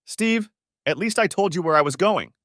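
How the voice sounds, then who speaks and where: A man's voice: high-pitched, lively intonation, moderate pace, fairly bright tone, dry recording, clean studio sound, pitched above the performer's own voice. A man voicing an agitated, defensive wife in a dramatic story reading. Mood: nervous, brushing off. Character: Carol, agitated defensive wife in a troubled marriage. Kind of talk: audiobook-style dramatic reading of dialogue.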